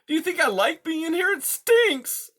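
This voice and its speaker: A man voicing a timid squeaky mouse girl. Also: big pitch swings, normal speed, a bit bright, exaggerated voice. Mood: frightened. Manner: stammering, faint, breathless with fear. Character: timid young female mouse, frightened and pleading.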